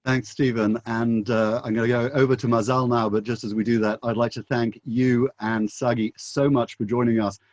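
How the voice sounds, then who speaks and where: A man's voice: medium pitch, level tone, normal speed, few pauses, a little mellow, no echo, dull audio. A man moderating a panel as a rabbi, courteous and thankful as he hands over to the next speaker. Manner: courteous, appreciative.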